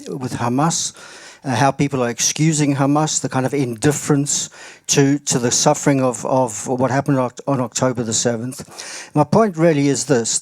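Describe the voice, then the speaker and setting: A man's voice: mid-range voice, darkish tone, no echo. Earnest man, dry sound. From South Africa. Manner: earnest, halting with repeated words. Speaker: audience member. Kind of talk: public comment at a symposium.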